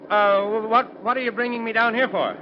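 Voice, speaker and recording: A man's voice: extremely high pitch, steady tone, fairly quick. An actor playing a sullen, jittery, hard-drinking man who sounds hesitant and grudging, in 1930s radio drama style. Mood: uneasy. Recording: very noisy, dull old radio recording.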